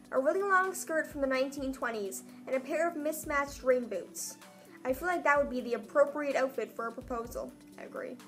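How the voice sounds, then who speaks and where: High-voiced young woman, mock-dramatic comedic reader. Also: fairly bright tone. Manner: mock-dramatic, self-mocking. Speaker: vlogger reading her own old story aloud. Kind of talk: comedic read-aloud with casual asides.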